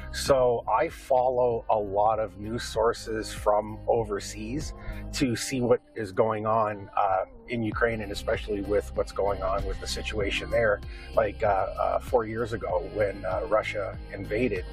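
A man's voice: lowish voice, poor audio. A middle-aged man with a Canadian accent answering interview questions casually, in a rambling, hesitant way. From Windsor, Canada.

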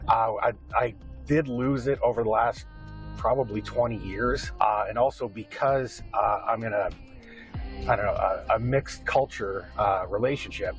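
A man's voice: fairly low pitch, moderate pace, faint music behind. A middle-aged man with a Canadian accent answering interview questions casually, in a rambling, hesitant way. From Windsor, Canada.

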